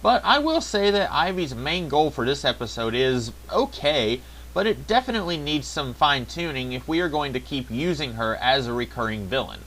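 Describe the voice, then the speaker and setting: A man's voice: mid-range voice, very animated, normal speed, fairly bright tone, slight background noise, rough recording. A man narrating a scripted review, with wry, sarcastic asides. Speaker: video reviewer.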